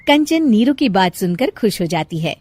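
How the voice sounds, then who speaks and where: A woman's voice: medium pitch, big pitch swings, moderate pace, a little mellow, soft background music. A woman storyteller reading scripted narration for a moral bedtime story.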